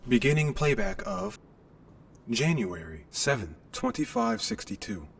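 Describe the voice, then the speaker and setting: A man's voice: mid-range voice, wildly swooping pitch, measured pace, vocal fry, recorded from a distance, slight background noise, voice pushed up, heavily acted. A man voicing an impersonal spaceship computer that makes clipped, formal announcements in a sci-fi audio drama.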